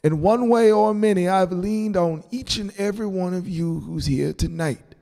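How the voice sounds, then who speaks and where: A man voicing an elderly grandfather in a storybook, sentimental and grateful, speaking tenderly and haltingly with a creaky voice. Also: high voice, voice pushed up. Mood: heartfelt. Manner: halting, tender, soft-spoken. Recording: long hall reverb on the voice.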